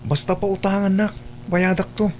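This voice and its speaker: A man's voice: high voice, level tone, moderate pace, a little hiss or hum, natural voice. A man playing a defiant, lazy college-age son in a radio drama, insolent and dismissive. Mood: irritated, insolent. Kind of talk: Ilocano radio drama acting.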